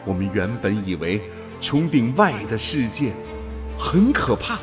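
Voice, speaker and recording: A man acting in a radio drama as an awestruck, fervent explorer turned alien creature, with halting, theatrical wonder. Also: on the low side, big pitch swings, music in the background. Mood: reverent wonder.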